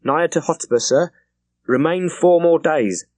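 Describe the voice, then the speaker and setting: A male audiobook narrator acts a young naval messenger, dutiful and formal. The voice jumps noticeably higher as he calls out reports, in a dry, close recording. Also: a little slow, dry recording, lightly acted. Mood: dutiful, tense. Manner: formal, raised reporting call. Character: dutiful junior male naval signal officer, eager messenger. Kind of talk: dramatized audiobook character dialogue.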